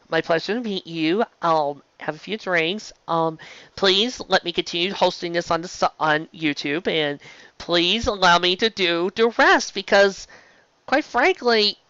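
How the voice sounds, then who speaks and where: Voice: medium pitch, bright, forceful tone, no room sound, highs cut off. A show host giving a casual, rambling fan review in a gushing, emphatic way, often tripping over words and restarting. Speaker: host of a fan fiction live-read show.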